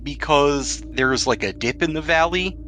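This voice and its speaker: A man with a bright, crisp-edged voice talks as the game master of a tabletop game. He explains options casually, with music playing faintly underneath. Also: on the high side, a little slow.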